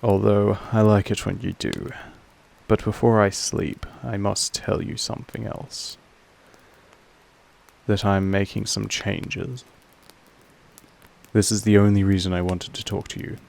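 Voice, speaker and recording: A man playing a possessive vampire master in an intimate audio roleplay, speaking formally in a measured, softly menacing way with a gravelly creak. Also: on the low side, level tone, poor audio. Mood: tender, proud. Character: possessive male vampire master, calm, commanding, quietly affectionate.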